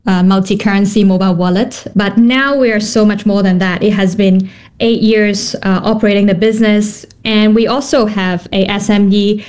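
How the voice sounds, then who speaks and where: A woman's voice: mid-range voice, steady tone, moderate pace, a little mellow. A woman in her thirties from Hong Kong, a company founder answering interview questions thoughtfully, with many fillers and restarts.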